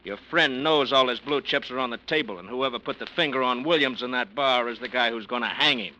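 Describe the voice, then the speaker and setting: Hard-nosed detective, needling and blunt, very bright cutting tone, played by a man, faint noise behind. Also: medium pitch, fairly quick, close to an everyday voice, poor audio. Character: tough, blunt male police detective. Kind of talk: 1940s radio acting.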